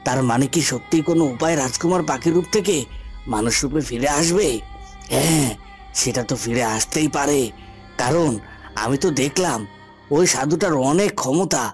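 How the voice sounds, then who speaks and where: A man playing a scheming, hot-tempered palace villain in a cartoon fairy tale, speaking in deliberate phrases with emphatic repetition. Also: a little high, soft background music, natural voice. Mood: brooding, calculating. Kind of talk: Bengali cartoon drama.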